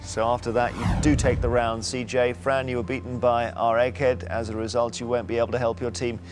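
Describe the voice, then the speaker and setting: A middle-aged man hosting a quiz show, brisk and teasing as he reads questions in a TV studio. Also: mid-range voice, little pitch movement, music in the background.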